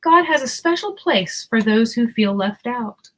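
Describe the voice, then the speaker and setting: A woman voicing a kind old cow in a children's storybook, speaking in a gentle, soothing, friendly way. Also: on the high side, lively intonation, measured pace. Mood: gently comforting. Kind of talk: children's storybook read-aloud.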